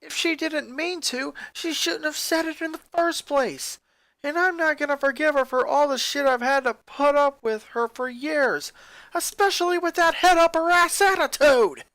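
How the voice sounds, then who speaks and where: A hurt young boy acted out by a story narrator speaks in a raised pitch, clipped and accusing. He is bitter and unforgiving, and the sound is very dry. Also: normal speed, a bit bright, no room sound. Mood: angry.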